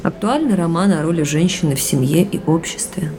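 A woman's voice: deep voice, expressive, fairly quick, noisy background. An actress playing an articulate, self-assured young woman with an informal manner in an audio drama. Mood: confident, thoughtful. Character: articulate, lively young woman, opinionated reader and friend.

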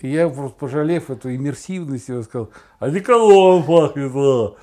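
A man's voice: medium pitch, animated, normal speed, warm tone. A middle-aged or older man co-hosting a podcast, chatting casually and telling anecdotes in a wry, joking way. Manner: wry, mock-plaintive joking. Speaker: podcast co-host.

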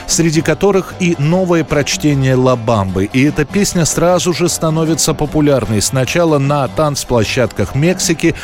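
A man reading scripted documentary narration as the narrator of a history programme. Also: medium pitch. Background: faint background music.

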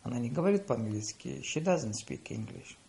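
A man reading paired lesson phrases, saying the English slowly and deliberately after quicker Russian. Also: medium pitch, expressive, vocal fry, warm tone. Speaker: language teacher.

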